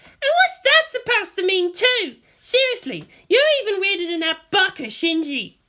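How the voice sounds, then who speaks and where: A man's voice: extremely high pitch, sing-song, normal speed, bright, forceful tone, pitched above the performer's own voice, heavily acted. A man voicing a brash, bossy teenage girl pilot with a haughty, needling manner. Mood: indignant.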